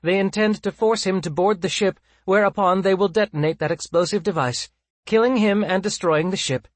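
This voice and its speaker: A man's voice: high-pitched, moderate pace, dry recording, pitched above the performer's own voice. A man voicing a fussy, chirpy, self-satisfied robot drone with prim, formal manners, as in an audiobook. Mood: matter-of-fact dismay. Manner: prim, formal, mock-polite phrasing.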